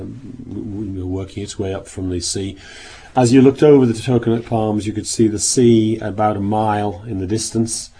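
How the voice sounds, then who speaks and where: A man giving a sermon in a meeting room, speaking hoarsely as he nearly loses his voice, rambling with many restarts. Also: on the low side, warm tone. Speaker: minister. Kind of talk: sermon opening with travel account.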